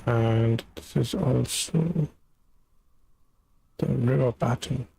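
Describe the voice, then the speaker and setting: A man's voice: mid-range voice, takes it slowly, vocal fry, dark tone, breathy edge. A man giving casual live gameplay commentary, thinking aloud in short murmured phrases into a desk microphone. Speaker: live streamer playing a video game. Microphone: USB desk microphone.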